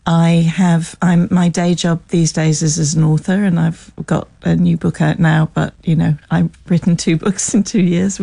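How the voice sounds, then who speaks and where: A woman's voice: low voice, vocal fry, dark tone. A woman in her sixties speaking as an author and journalist in an unscripted radio interview, articulate and wry. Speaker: author and journalist, studio guest. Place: radio studio.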